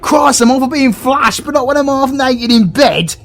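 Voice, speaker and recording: A man's voice: extremely high pitch, normal speed. A British man playing a foul-mouthed, sarcastic villain cast as a grumpy Scrooge in a comedic audio roleplay, ranting theatrically. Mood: cheeky, sarcastic.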